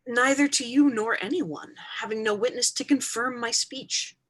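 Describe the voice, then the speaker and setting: A woman's voice: on the high side, moderate pace, fairly bright tone, low-quality recording. A woman playing a guarded night attendant in a Shakespearean staged reading.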